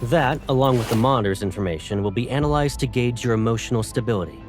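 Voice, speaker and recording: An actor playing a cold, quietly menacing young male fleet colonel in a game, speaking in a measured, authoritative way. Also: mid-range voice, soft background music, close to an everyday voice. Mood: coolly commanding. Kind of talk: dramatic game voice acting.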